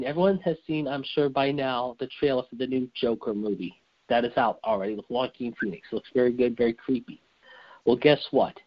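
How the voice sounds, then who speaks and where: A hoarse man whose voice is going raspy, co-hosting a wrestling show and giving blunt, heated opinions. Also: mid-range voice, lo-fi sound. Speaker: co-host.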